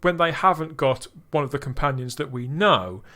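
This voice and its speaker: A man's voice: fairly high pitch, moderate pace, sharp tone. A man hosting a podcast review chat, enthusiastic and pulling his co-hosts in with tag questions. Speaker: podcast host. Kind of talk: casual review discussion.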